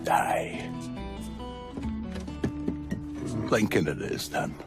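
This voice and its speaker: An actor playing a bitter, world-weary Irish gangster boss in a video game, gruff and brooding. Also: male voice, natural voice. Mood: rueful, brooding. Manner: slow, brooding, drawn-out phrasing. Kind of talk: dramatic video game acting. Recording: music behind the voice.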